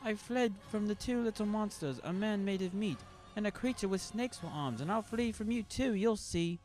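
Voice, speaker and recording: A man voicing a frightened little red-headed boy who yells in a high, loud, panicky voice. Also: animated, normal speed, faint music behind. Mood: defiant panic.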